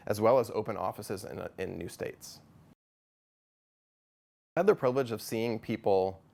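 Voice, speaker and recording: A man speaking as a stuttering specialist in a measured promotional interview, calm and explanatory. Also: lowish voice, vocal fry.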